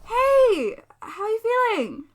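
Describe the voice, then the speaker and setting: Jittery young podcaster, confiding and wry, lively swooping pitch, dry close sound. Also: female voice, slow pace, dry recording, full character voice. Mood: anxious. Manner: confiding, nervous, self-deprecating. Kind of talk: audio drama monologue.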